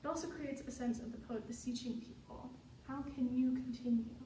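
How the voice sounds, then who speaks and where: A woman's voice: sits high, fairly even intonation, fairly quick. A young adult woman giving a scripted explainer as a student presenter. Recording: roomy, noisy recording, distant mic.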